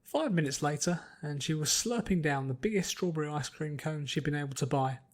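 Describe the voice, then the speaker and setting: A man's voice: on the high side, brisk. A man reading scripted story narration as an audiobook narrator.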